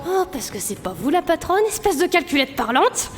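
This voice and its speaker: An impatient, excitable girl sidekick, acted by a woman, speaks in a high voice that swoops around. She gets flustered yet stays outspoken, in a comic sci-fi audio play with music behind. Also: moderate pace.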